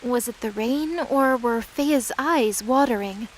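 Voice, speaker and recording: A woman's voice: fairly high pitch, slow, slight background noise, rough recording. A woman reading scripted, dramatic story narration as the narrator of a fantasy drama.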